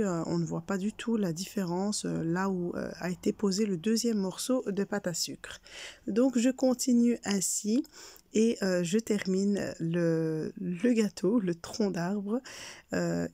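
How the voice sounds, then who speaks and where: A woman's voice: medium pitch, a little slow, mellow, dry recording. A woman with a cold, her voice congested, hosting a cake decorating tutorial in a friendly, hesitant way with many fillers. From Belgium. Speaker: cake decorating channel host. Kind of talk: casual cooking tutorial voice-over.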